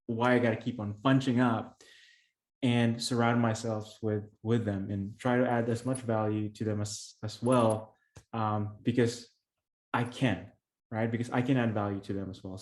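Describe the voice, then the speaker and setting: Filipino man, middle-aged, interview guest; earnest, halting delivery, roomy echo. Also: mid-range voice, steady tone, darkish tone. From Philippines. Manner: earnest, many restarts and fillers. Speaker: podcast guest, real estate investor. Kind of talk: conversational interview storytelling. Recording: echoey room.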